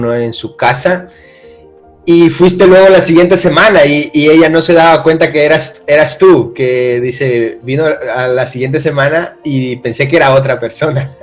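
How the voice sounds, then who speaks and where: A man interviewing a church member, asking about his life with friendly, encouraging questions and some fillers. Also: mid-range voice.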